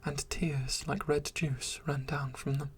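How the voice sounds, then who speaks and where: A narrator reading a dark story in a soft, steady whisper. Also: mid-range voice, slow. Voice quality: very breathy.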